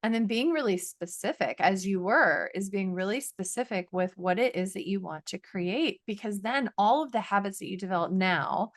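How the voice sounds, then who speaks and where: A woman's voice: mid-range voice, lively intonation, moderate pace, few pauses, no room sound, clean studio sound. A woman co-hosting a podcast, speaking in an earnest, affirming, coaching way with many "like"s. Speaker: podcast co-host. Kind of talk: casual podcast chat.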